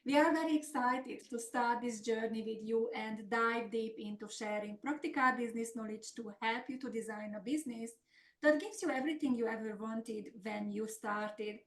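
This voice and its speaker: Middle-aged hungarian woman running a business webinar, kinda high voice, echoey room. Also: normal speed. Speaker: business coach hosting a webinar. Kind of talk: semi-scripted webinar presentation.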